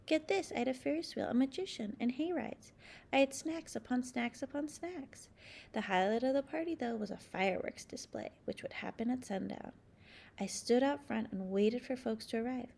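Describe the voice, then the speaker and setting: A woman reading a children's storybook aloud as narrator, with expressive, dramatic emphasis. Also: fairly high pitch, normal speed, creaky. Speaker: storybook reader.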